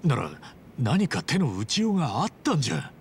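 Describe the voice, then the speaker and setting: A man's voice: medium pitch, huge pitch swings, fairly quick, slight background noise. A man playing an earnest, troubled yakuza in a video game drama, hesitant and questioning. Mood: anxious, stunned. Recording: music behind the voice.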